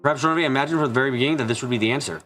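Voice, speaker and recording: A man's voice: medium pitch, racing, fairly bright tone. A man reading story narration aloud as a live dub, with occasional slips as he reads. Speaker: streamer reading a visual novel. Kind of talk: live translated narration and dubbing. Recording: music behind. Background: game music.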